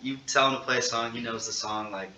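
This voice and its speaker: A teenage male high school senior chatting casually as a podcast co-host. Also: fairly even intonation, fast talker, sharp tone. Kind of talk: casual chat. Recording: echoey, distant mic with background noise.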